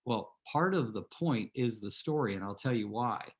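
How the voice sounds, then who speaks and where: A man's voice: mid-range voice, animated, moderate pace. A man in his sixties from California, a real estate team leader telling a rambling personal story to his team on a video call, often turning to address his listeners directly. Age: 60s.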